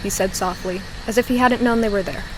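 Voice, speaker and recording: A woman narrating a scripted horror story in a measured, suspenseful manner with dramatic pauses. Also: mid-range voice, background noise.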